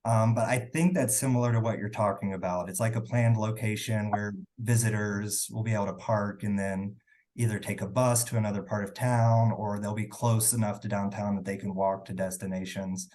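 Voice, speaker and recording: A slightly hoarse man with a fairly low voice moderates a webinar. He talks casually as he handles questions from the audience. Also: fairly even intonation, normal speed, a little mellow. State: slightly hoarse from a sore throat.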